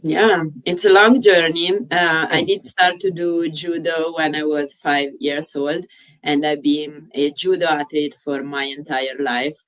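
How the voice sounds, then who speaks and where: Woman, italian accent, low-pitched, slightly distant from the mic, hesitant with many uh pauses. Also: steady tone, a bit bright. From Italy. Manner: frequent "uh" pauses, slightly non-native grammar. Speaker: guest, fitness coach. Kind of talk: casual interview chat.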